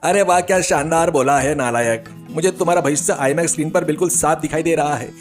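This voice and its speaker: A man playing a sly, flattering, higher-voiced friend. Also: fast talker, faint music behind, close to an everyday voice. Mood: admiring. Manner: flattering, urging. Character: sly village friend egging on his pal with flattery.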